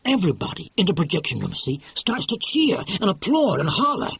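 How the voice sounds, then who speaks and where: A man doing a brash, excitable movie mogul. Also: high-pitched, a little fast, gravelly, slightly rough, dry recording, pitched above the performer's own voice, exaggerated voice. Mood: boastful, excited. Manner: slangy, pushy, exclamatory, keeps saying "see". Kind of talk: audiobook character voice.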